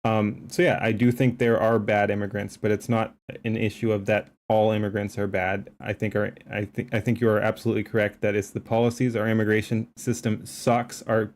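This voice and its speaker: A man's voice: lowish voice, little pitch movement, brisk, hardly any pauses. A man commenting live on a stream, talking emphatically in a rambling way, with lots of fillers and restarts. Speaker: streamer reacting to a debate clip.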